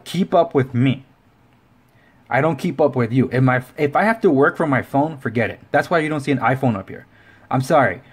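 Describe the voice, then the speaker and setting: A man's voice: medium pitch, lively intonation, fairly quick, breathy edge. A man hosting a casual tech review, talking informally and rambling with self-correcting asides. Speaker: tech review show host. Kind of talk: casual video review talk to camera.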